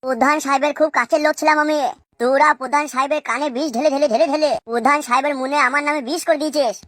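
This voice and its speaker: A woman's voice: high voice, steady tone, racing, a bit bright, no room sound. Comic cartoon prisoner: female actor playing a stubborn, taunting male crony, breakneck delivery, dry sound. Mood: angry defiance. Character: defiant, wronged male captive, stubborn village crony.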